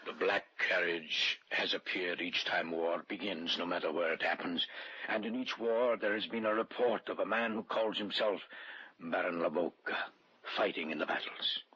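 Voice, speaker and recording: An actor playing a grave European village man, telling an eerie tale in a solemn, measured 1940s radio style. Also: low-pitched, bright, forceful tone, breathy edge, full character voice. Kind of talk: 1940s radio acting, suspenseful storytelling. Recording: muffled, noisy old radio drama recording.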